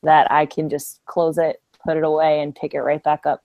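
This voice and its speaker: A woman's voice: deep voice, flat delivery, normal speed, no room sound, highs cut off. A woman talking casually as a panelist on a podcast. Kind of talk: casual chat.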